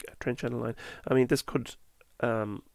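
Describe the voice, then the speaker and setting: Gravelly man losing his voice. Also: mid-range voice, fairly even intonation, darkish tone, dry recording. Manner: halting, fillers, self-correcting. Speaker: market analyst. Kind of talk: chart walkthrough.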